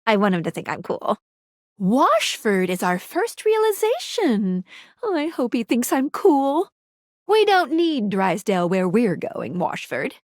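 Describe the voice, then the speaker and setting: Playful lovey game character, high voice, slow n swoopy, dry studio sound. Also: female voice, very animated, no room sound. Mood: eager, playful.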